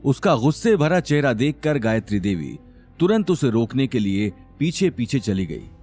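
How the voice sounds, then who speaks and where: Male narrator for serial audio drama: medium pitch, very lively intonation, dramatic scripted read, light music bed. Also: moderate pace, breathy edge. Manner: dramatic, expressive.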